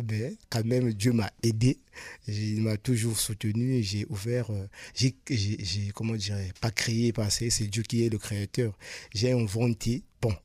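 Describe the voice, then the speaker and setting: A lowish-voiced man speaks as a radio guest, earnest and halting, often pausing and repeating himself. The studio sound is close and dry. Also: husky, dry recording. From Republic of the Congo. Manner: hesitant, many pauses and repeated words, sincere. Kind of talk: earnest personal testimony.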